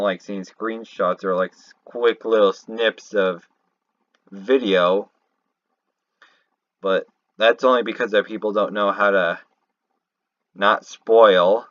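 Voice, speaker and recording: A man with a slightly low voice rambles through unscripted game commentary. He takes his time, halting and correcting himself as he thinks aloud. The recording is dull and lo-fi. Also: a bit bright. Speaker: fan commentator on a video game. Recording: roomy, dull lo-fi home recording.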